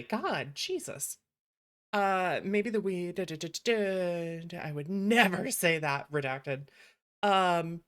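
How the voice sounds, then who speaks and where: Female streamer, slightly low pitch. Casual, chatty, animated and teasing, happy to swear. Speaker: livestreamer, VTuber host. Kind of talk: casual livestream chat.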